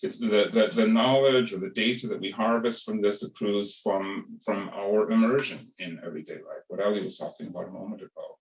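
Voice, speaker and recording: A man's voice: lowish voice, brisk, hardly any pauses. A man giving an academic panel talk, thoughtful and hesitant, often restarting his sentences. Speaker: panel speaker, ethnographer. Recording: echoey room, far from the mic.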